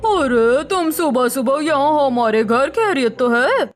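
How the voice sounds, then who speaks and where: A woman's voice: high voice, expressive, unhurried. A woman voicing a nosy, critical mother-in-law in a cartoon family drama, questioning and nagging. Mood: suspicious.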